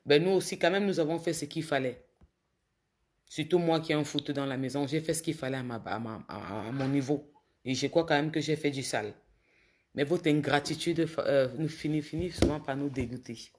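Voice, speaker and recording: A woman with a cold, a bit hoarse, talking casually to viewers on a phone livestream and scolding commenters with indignation. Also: low-pitched, moderate pace, darkish tone, a little hiss or hum. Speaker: social media livestreamer.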